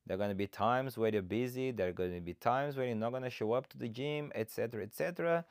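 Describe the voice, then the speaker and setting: A man's voice: mid-range voice, quick pace, dry recording, studio quality. A man from Brazil with a Brazilian Portuguese accent, a coach hosting his own podcast and speaking to listeners in an earnest, encouraging, motivational way. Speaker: fat loss coach, podcast host. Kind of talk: solo podcast monologue.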